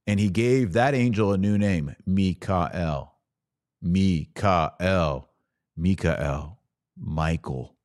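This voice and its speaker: Low voiced guy doing a religious podcast talk, slow and emphatic, super dry close sound. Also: a little mellow, no echo. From Texas. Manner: emphatic, didactic, with dramatic pauses. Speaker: podcast host.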